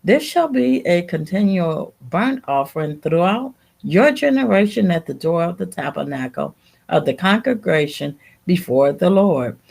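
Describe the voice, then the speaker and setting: Female Bible reader, unhurried and halting, with lively rises and falls in pitch and a creaky edge. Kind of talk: reading scripture aloud.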